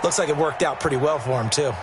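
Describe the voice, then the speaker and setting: A man's voice: fairly high pitch, fast, lightly acted. A man playing a football color analyst in a video game, explaining plays in an emphatic, conversational way. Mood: engaged, analytical. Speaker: color commentator. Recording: loud stadium crowd noise behind, game stream. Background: crowd and loud game sounds.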